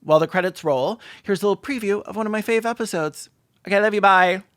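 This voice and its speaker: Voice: mid-range voice, fast talker, fairly bright tone, dry recording, studio quality. A sarcastic host giving mocking, theatrical comedic commentary in a reaction show.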